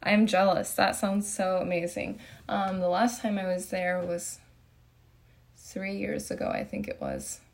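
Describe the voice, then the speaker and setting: A young woman from Japan hosting a relaxed live Q&A stream, answering viewer comments in a chatty way with lots of fillers. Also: medium pitch, measured pace, gravelly. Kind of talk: casual live Q&A chat, reading comments.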